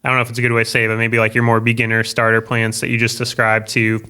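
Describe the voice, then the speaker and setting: A man's voice: mid-range voice, monotone, rapid-fire, sharp tone, clean tone. A man hosting a casual interview podcast, talking in a rambling way and reworking his questions as he goes. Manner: rambling, self-correcting questions. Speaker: podcast host.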